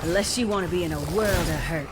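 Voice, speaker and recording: A woman's voice: on the low side, fast, heavy background noise, close to an everyday voice. A woman with a voicing a swaggering, dynamite-toting outlaw heroine, blunt and dry. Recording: loud game music behind.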